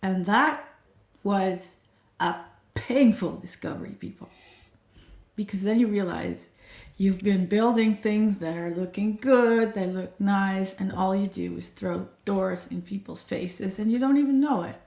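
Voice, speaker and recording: A talkative woman, speaking with lively energy as a guest on a live stream. Her voice comes through a video call in a roomy, echoing space, with a medium pitch. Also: measured pace, gravelly. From Netherlands. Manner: chatty, energetic, self-described nonstop talker. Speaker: guest, accessibility coach. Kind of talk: livestream guest intro.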